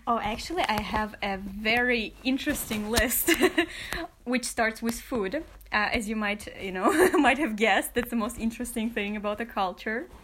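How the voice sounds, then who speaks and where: Young woman, higher voice. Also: normal speed, a bit bright, slight background noise. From Kazakhstan. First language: Kazakh and Russian. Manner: chatty, laughs often, many fillers and restarts. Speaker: interview guest. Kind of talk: casual interview answers.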